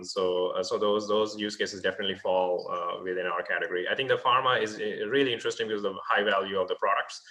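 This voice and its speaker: A man's voice: low-pitched, sharp tone, rough recording. A man, a startup founder, answering investor questions over a video call, hesitant with many fillers and restarts.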